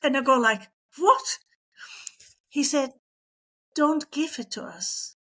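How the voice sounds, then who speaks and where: A woman's voice: high-pitched, takes it slowly, a bit bright. A middle-aged woman telling personal stories as an interview guest, acting out the dialogue as she goes. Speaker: interview guest, former missionary.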